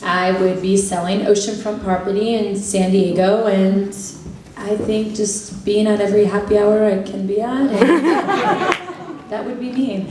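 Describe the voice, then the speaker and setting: A young woman on a convention panel answering fan questions, bubbly and quick with jokes, laughing often. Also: medium pitch, distant mic, background noise. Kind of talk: casual convention Q&A. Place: large convention hall. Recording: echoey hall, heard from afar.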